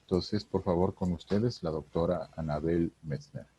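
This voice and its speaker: A man's voice: deep voice, moderate pace, dark tone, husky, no echo. A man moderating a webinar, formally thanking a colleague and introducing the next speaker. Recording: dull lo-fi call audio.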